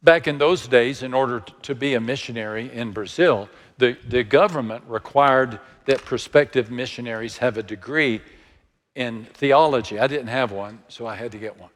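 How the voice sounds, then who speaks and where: A man in his sixties with a Texan accent, preaching a sermon in a slow, measured way that sinks to hushed, near-whispered lines. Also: medium pitch, long reverb tail. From Texas. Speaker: pastor.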